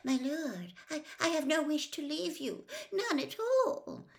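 A woman voicing a timid, servile male henchman in an audiobook, squeaky and nervous, words tumbling out as he pleads. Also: very animated, normal speed, a bit bright, exaggerated voice. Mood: fearful, grovelling.

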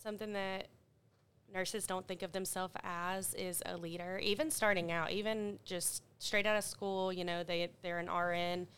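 A woman co-host speaks with earnest encouragement, repeating her key points. Her voice is low and exceptionally clear. Her pitch stays level and even throughout. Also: normal speed. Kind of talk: conversational podcast discussion.

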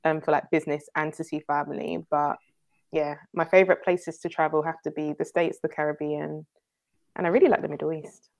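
A young London woman with a notably low voice, speaking as an interview guest. She talks in a relaxed, chatty way and laughs readily. Her speech is loose and filled with "like" and "um.". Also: a little fast, creaky. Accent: London English. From North London, England. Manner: chatty, laughs often, leans on "like" and "um". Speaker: guest entrepreneur. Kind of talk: casual interview talk.

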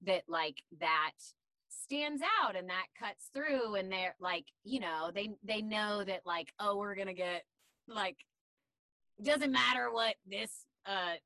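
A woman voice actor with a deep voice, talking candidly and chattily in an interview, with many fillers. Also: slow, pushed and bright, no room sound. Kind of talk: casual interview chat.

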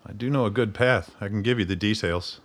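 A man's voice: fairly low pitch, a little fast, natural voice. An actor playing a practical, matter-of-fact barman in a radio play. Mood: helpful, businesslike.

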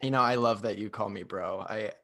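A man's voice: fairly low pitch, fairly quick, bright, forceful tone, pitched below the performer's own voice. A man playing a meek, eager, easily overlooked guy in improvised comedy, hesitant and placating.

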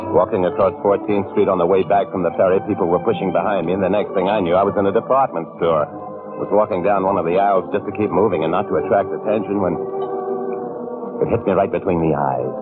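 An American actor playing a nervous killer confessing his crime in halting, confiding 1940s radio drama style. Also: sits low, fairly even intonation, over loud music, close to an everyday voice. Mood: grim, confiding. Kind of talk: 1940s radio suspense acting, first-person narration. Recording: muffled vintage radio recording.

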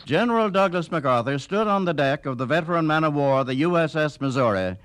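A man's voice: on the high side, expressive, brisk, a bit bright, clear, resonant tone. An older American man reading scripted documentary narration as host of a radio history program.

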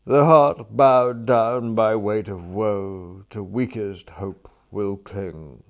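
Gloomy young upper-class English gentleman, male reader in role: deep voice, melodramatic, sorrowful. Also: unhurried, muffled recording. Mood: mournful. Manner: mournful, melodramatic.

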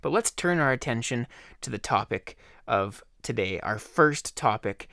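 A man hosting his own podcast, talking casually in a slightly hoarse voice, rambling with fillers. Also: medium pitch, expressive, unhurried, bright, forceful tone, no room sound, studio quality. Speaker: podcast host. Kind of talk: casual solo podcast talk.

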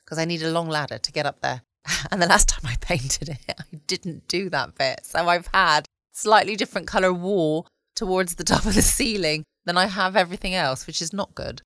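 A woman's voice: fairly low pitch, moderate pace, hardly any pauses, a bit bright, no echo. A British woman chatting casually as the host of a late night bedtime podcast. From United Kingdom.

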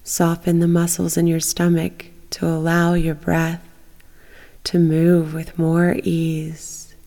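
A woman leading a guided bedtime meditation, speaking in a soft, soothing, unhurried manner with long pauses. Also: fairly low pitch, completely flat delivery, creaky. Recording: long reverberant echo.

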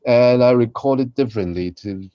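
A man co-hosting a casual chat in a halting manner, often repeating his phrases. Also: medium pitch, clear, resonant tone, dry recording. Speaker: podcast host, voice performer. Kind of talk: casual behind-the-scenes chat. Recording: slightly dull, lo-fi sound.

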